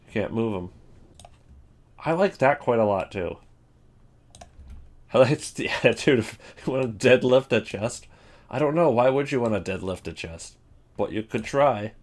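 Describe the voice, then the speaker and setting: A man's voice: mid-range voice, expressive, measured pace, pauses often. A man giving casual, rambling commentary, thinking aloud as he goes. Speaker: video creator ranking game voice lines.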